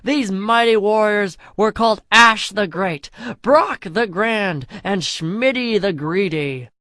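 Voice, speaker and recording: Storyteller, medium pitch, comic fantasy narration, mock-solemn delivery, dry sound with little room. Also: expressive, takes it slowly, bright, forceful tone, no echo.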